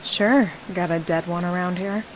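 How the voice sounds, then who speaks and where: A woman's voice: fairly low pitch, steady tone, normal speed, a little hiss or hum, voice pushed down, lightly acted, low-quality recording. A woman voicing a watchful young female necromancer in a visual novel, dry and brief. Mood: wry. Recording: loud music and rain behind. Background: game music and rainfall.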